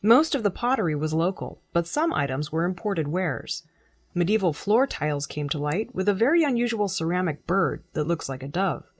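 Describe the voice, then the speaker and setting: A woman reading scripted news as a presenter, in a measured, formal delivery. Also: fairly low pitch, very animated, husky, dry recording. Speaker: news reader.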